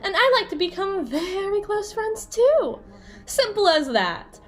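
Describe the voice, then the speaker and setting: Woman voicing a flirty jealous yandere bestie for asmr roleplay, high voice that swings all over, sassy n teasing. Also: sing-song, heavily acted. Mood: playful, insistent. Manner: teasing, sassy, theatrical, drawn-out emphasis. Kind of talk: ASMR roleplay monologue addressed to the listener.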